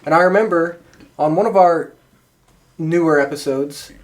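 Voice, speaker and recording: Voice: medium pitch, moderate pace. A podcast host chatting casually. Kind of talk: casual chat.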